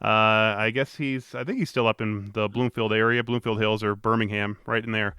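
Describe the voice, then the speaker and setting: A man hosting a podcast in a casual, rambling way, full of restarts and fillers. His voice is smooth and clear, recorded close and dry with no room sound. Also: lowish voice, steady tone, moderate pace, sharp tone, no room sound. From Detroit, USA. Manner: chatty, rambling with many restarts. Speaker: podcast host. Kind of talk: casual interview chat.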